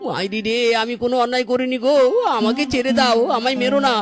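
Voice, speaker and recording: High-pitched male fox woodcutter acted by a woman, poor devoted husband, excitable, dramatic exclamations, cartoon, music behind. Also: quick pace, close to an everyday voice. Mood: terrified. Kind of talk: cartoon character voice acting.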